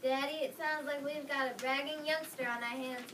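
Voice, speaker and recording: A woman's voice: sits high, fairly even intonation, a little fast, lightly acted. A woman reading the part of a proud, folksy mother in a reader's theater script. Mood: astonished, scheming. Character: proud, stern-minded folksy young mother. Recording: distant mic with clear background noise.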